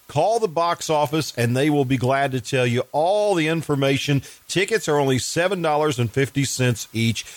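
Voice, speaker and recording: A man hosts a radio program, giving announcements. His voice has an average male pitch. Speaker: host, producer-director.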